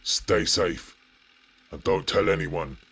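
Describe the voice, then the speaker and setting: A man narrates scripted analog horror in an extremely deep register. His voice is very husky and rough, with a gravelly grain. He speaks deliberately, with a grave, ominous delivery. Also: bright, forceful tone.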